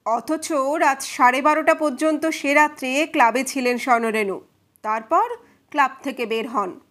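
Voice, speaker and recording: A woman playing a calm male detective who questions politely and methodically in an audio story. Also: high voice, normal speed. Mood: probing.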